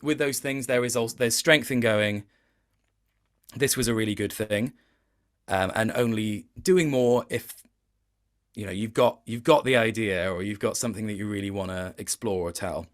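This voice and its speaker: A man speaking as an interview guest in a casual chat, thoughtful and halting, often reworking his sentences as he goes. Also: on the low side. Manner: thoughtful, halting, with many self-corrections.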